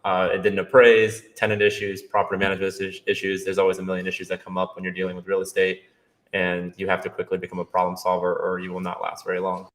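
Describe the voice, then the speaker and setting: A young man from Brazil, a guest on a podcast, answering in a relaxed, matter-of-fact way. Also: deep voice, fast. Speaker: guest, real estate investor. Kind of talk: casual interview answers.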